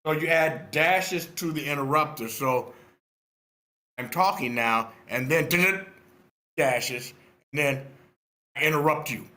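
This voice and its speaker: Older man, bright voice, roomy echo. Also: a little high, takes it slowly, pauses often. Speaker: script feedback participant. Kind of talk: casual spoken critique. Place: indoors on an online meeting call. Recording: roomy echoing online meeting audio.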